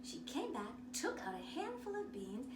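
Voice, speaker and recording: A woman reading a children's story aloud in a theatrical, expressive way. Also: high voice, normal speed. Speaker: drama teacher reading a picture book aloud. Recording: roomy, distant mic, noisy background.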